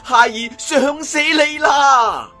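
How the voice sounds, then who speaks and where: A man's voice: slow pace, faint music behind, heavily acted. A man voicing an eager, devoted young martial arts hero, calling out emotionally with a Cantonese accent. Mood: overjoyed. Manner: high, shouted, emotional calling out.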